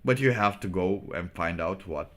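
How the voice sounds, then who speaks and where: A man giving off-the-cuff commentary as a streamer, philosophizing in a halting, rambling and blunt way. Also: low-pitched. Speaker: live streamer reacting to motivational videos.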